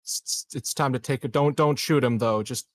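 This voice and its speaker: Man with mid-pitched voice, dry sound. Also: normal speed, dry recording. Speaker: tabletop game player. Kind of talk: casual banter.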